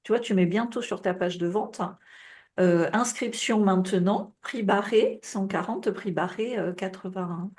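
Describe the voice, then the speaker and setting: A woman coaching on a group call in a relaxed, chatty way, heavy with fillers and check-ins, her voice a bit worn with coughing. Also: medium pitch, measured pace. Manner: casual, advising, many fillers and tu vois. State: coughing, voice tiring. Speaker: business coach.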